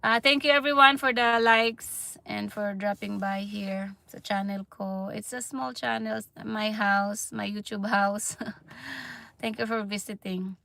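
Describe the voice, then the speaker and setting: A woman's voice: mid-range voice, steady tone, creaky, bright, forceful tone. A woman in her thirties from the Philippines with a Filipino accent, chatting on a livestream with many fillers and restarts. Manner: halting, many fillers and restarts, laughs often. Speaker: livestream vlogger. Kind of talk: casual livestream chat.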